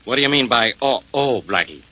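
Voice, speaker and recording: A man playing an irritable, gruff police inspector in a 1940s radio detective drama. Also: medium pitch, expressive, a little fast, sharp tone. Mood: irritated. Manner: brusque, blustering. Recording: muffled, hissy 1940s radio broadcast.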